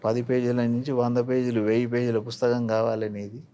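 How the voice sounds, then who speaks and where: A man's voice: mid-range voice, level tone, a little fast, dark tone. Older Telangana man, reflective and instructive. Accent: Telangana Telugu. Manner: didactic, illustrating points with examples. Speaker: actor being interviewed. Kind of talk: reflective interview talk with anecdotes and advice.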